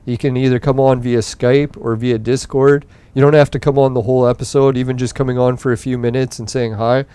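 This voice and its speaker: Young male podcast host, smooth voice, loose and profane, tiring late at night. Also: medium pitch, dark tone, no echo. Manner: rambling, profane, laid-back. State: voice getting tired late at night. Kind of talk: casual gameplay commentary.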